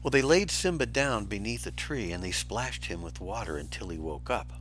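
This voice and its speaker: A man reading a children's storybook aloud as an expressive storyteller. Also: fairly low pitch, moderate pace, soft background music.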